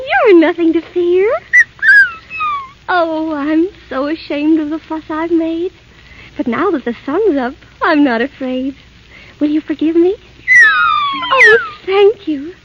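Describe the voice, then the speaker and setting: Super high lilting girlish princess, wide-eyed n sweet, old muffled radio play. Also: very noisy, exaggerated voice. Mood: relieved, gentle. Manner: wide-eyed, childlike, theatrical exclamations. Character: sweet, innocent, girlish fairy-tale princess. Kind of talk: 1930s radio acting. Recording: muffled 1938 radio recording with music.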